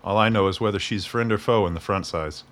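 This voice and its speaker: An actor playing a calm safehouse keeper who questions a visitor carefully. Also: male voice, lowish voice, fast, lightly acted. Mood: probing. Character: calm, probing man running a safehouse. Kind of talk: radio play acting.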